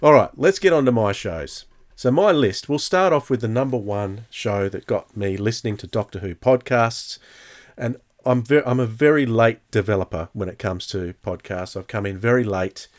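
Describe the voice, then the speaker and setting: A man hosting a podcast in a casual, hesitant way, chatting and reading out comments in a halting delivery. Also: on the low side. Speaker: podcast host.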